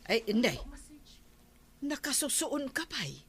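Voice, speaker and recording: A woman's voice: high voice, very animated, takes it slowly, fairly bright tone, heavily acted. A woman playing a nosy, fretful village neighbour in an Ilocano comedy drama, speaking with an Ilocano accent. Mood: chatty, probing. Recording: clearly noisy with clicks.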